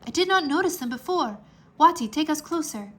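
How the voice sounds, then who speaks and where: A woman's voice: high voice, lively intonation, brisk, fairly bright tone, voice pushed up. A woman voicing an earnest, commanding boy who leads a moon dragon in a storybook. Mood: excited.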